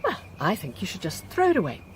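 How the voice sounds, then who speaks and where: An actress playing a middle-aged British wife in a radio drama, teasing and affectionate but quick to dismiss things. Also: female voice, fairly low pitch, huge pitch swings, a little hiss or hum. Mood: dismissive, reassuring. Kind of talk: naturalistic radio drama acting.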